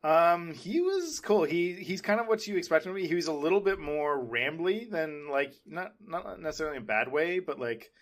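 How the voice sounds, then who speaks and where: A man's voice: a little high, lively intonation, moderate pace, few pauses, fairly bright tone. A man hosting a podcast, chatting casually about film and TV in a rambling, hesitant way. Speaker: podcast host.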